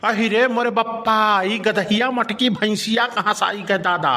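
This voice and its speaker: A man's voice: sits high, fairly even intonation, measured pace, bright, forceful tone. A man voicing a cornered, excuse-making village husband in a comedy cartoon, whining and pleading in an Awadhi accent. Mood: alarmed. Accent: Awadhi, eastern Uttar Pradesh.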